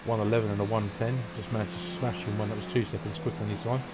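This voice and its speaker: A man giving relaxed, rambling commentary on an online racing qualifying session. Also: fairly low pitch, racing, very noisy. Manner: relaxed, rambling, self-deprecating asides. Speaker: race commentator.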